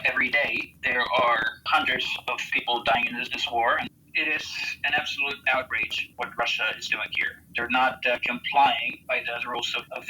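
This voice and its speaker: An American man giving earnest interview answers as a volunteer soldier, speaking haltingly as he searches for words. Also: mid-range voice, bright, forceful tone, a little hiss or hum, rough recording. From United States.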